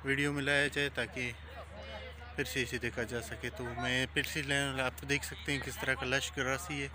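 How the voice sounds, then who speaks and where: A man in his thirties, a travel vlogger, walking a cricket ground and talking to a phone camera at arm's length in an unhurried, repetitive way. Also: medium pitch, a crowd in the background. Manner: flat, steady and unhurried, with many repeats. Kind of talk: casual walking vlog. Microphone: phone camera held at arm's length.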